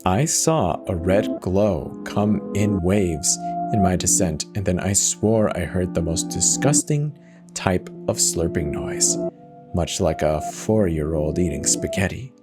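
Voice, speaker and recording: A man reading a first-person horror story as a dramatic scripted narration. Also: sits low. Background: music.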